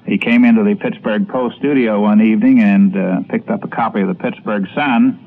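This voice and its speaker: An older man, a former radio announcer, recounting memories in a halting, measured way. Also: on the low side, fairly even intonation, creaky. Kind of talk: recounting reminiscences. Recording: thin phone-like archival radio recording.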